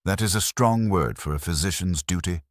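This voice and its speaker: A man voicing a mild, shrewd police inspector in a mystery story, gentle, polite and quietly probing. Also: low voice, moderate pace, no echo, clean studio sound, voice pushed up.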